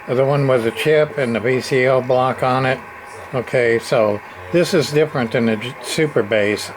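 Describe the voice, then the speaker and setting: A man giving an informal hands-on repair walkthrough in a rambling way, muttering to himself as he goes. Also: mid-range voice. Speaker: radio repair hobbyist. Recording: clear background noise, amateur workbench video.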